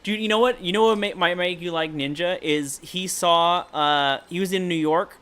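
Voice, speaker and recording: A man's voice: high-pitched, normal speed, bright, forceful tone, clean tone. A young man chatting casually on a game livestream, blunt and joking with frequent swearing. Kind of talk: casual chat over a game stream.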